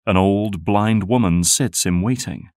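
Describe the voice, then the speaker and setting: A man's voice: on the low side, measured pace, darkish tone, no room sound, clean studio sound. A man narrating an audio drama from a script in a storytelling style.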